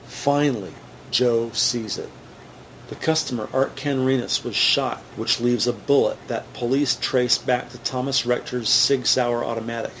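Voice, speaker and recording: A man narrating a scripted crime drama in a measured, matter-of-fact way. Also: medium pitch, steady tone, fairly bright tone, a little hiss or hum, low-quality recording. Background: music.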